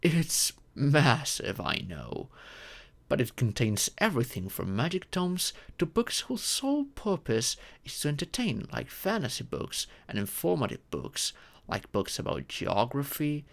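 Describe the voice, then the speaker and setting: Man voicing a slow, creaky, gentle dragon parent. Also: mid-range voice, full character voice. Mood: warm, patient. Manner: formal, measured, patient, explanatory. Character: gentle ancient dragon in humanoid form, doting adoptive parent. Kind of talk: scripted audio roleplay monologue.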